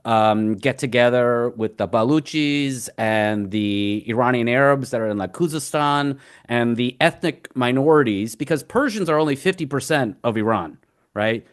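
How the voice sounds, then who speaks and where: A man appearing as a guest on a radio talk show, speaking in an earnest, impassioned way with quick restarts. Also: mid-range voice, smooth. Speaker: guest commentator. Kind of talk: conversational radio interview.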